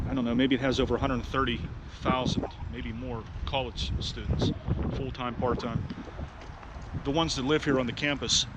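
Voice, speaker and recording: Sick, blunt man vlogging outdoors. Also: medium pitch. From Pittsburgh, United States. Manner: blunt, profane, rambling. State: sick with a head cold. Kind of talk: walking street vlog. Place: city street at night, outdoors. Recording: street noise behind the voice.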